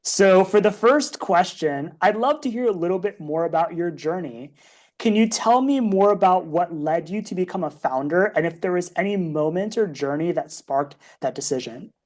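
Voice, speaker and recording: A woman hosting a podcast, welcoming a guest and asking measured, friendly interview questions. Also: lowish voice, expressive, a little mellow. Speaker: podcast host.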